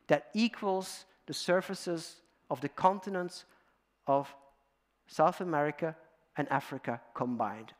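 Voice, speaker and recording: A middle-aged man from Belgium giving a storytelling conference talk in a large auditorium, addressing the audience with fillers. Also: on the high side. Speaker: guest speaker.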